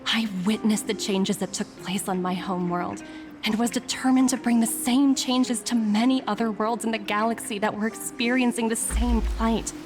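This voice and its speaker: A woman voicing a composed corporate agent in a video game, reading a formal, earnest and persuasive letter in a measured way. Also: fairly high pitch, steady tone, lightly acted. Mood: earnest, resolute. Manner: measured, formal, deliberate phrasing. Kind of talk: scripted game letter monologue. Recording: background music under the voice.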